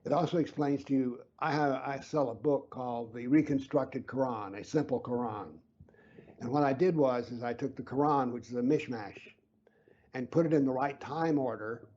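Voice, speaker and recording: A man being interviewed who explains his views earnestly and emphatically, sometimes dropping to a low whisper to stress a point. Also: normal speed, a little mellow, muffled recording, a little hiss or hum. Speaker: interview guest, author.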